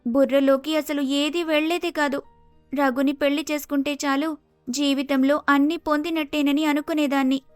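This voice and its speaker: Woman acting a suffering young wife, high voice, little pitch variation, plaintive, family melodrama storytelling. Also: level tone, moderate pace, dry recording, faint music behind, close to an everyday voice. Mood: regretful.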